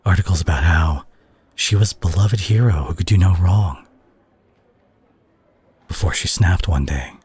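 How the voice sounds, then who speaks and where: A man acting a concerned superhero who confronts a reckless friend in a dramatic audio roleplay, speaking earnestly and haltingly with a husky, breathy voice. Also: deep voice, darkish tone, heavily acted. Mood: somber, pained.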